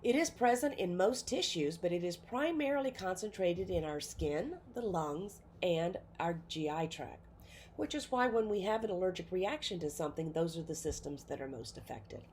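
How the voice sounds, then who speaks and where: A woman's voice: on the low side, expressive, normal speed, a little mellow, slight background noise. A woman with a cold giving a nursing pharmacology lecture in an informal way, with many fillers and self-corrections. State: has a cold. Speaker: nursing instructor. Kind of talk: recorded classroom lecture.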